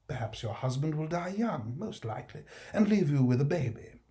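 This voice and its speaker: A man's voice: on the high side, very animated, moderate pace, mellow. A man playing a smug, condescending alderman who lectures the poor with a chuckle. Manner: patronizing, jovial, chuckling. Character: smug pompous male alderman, condescending magistrate.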